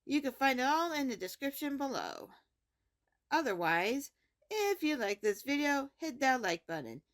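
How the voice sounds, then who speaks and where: A woman with a very high, expressive voice talks to her viewers as host and creator. She runs through a channel sign-off in a direct address. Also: normal speed.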